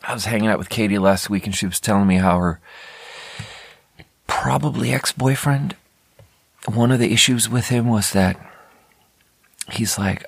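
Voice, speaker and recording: Low-voiced American man, casual solo podcaster. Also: creaky, slightly rough, no echo. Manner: candid, conversational, self-interrupting. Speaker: podcast host. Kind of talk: casual rambling solo talk.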